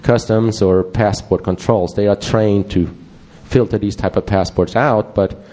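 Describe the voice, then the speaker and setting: A man with a Swedish accent gives a live talk to an audience. His voice is low and mellow, and he rambles loosely, restarting sentences and dropping dry asides. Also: steady tone, unhurried. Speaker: conference presenter.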